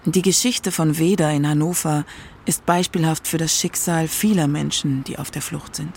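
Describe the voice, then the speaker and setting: A man narrating a scripted radio documentary in a measured, solemn manner. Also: fairly high pitch, fairly even intonation, creaky, a little mellow, a little hiss or hum.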